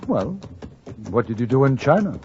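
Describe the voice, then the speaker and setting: Man acting earnest gentleman on dull old radio. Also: mid-range voice, very animated, moderate pace, natural voice. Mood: gentle, firm. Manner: proper, polite phrasing. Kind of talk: 1950s radio western acting. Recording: dull, noisy 1950s radio recording.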